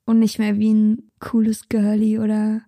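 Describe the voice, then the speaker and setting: Teasing woman in her thirties, mellow tone. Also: mid-range voice, monotone, normal speed, no room sound, studio quality. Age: 30s. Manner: laughs often, teasing. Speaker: podcast co-host. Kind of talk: casual chat.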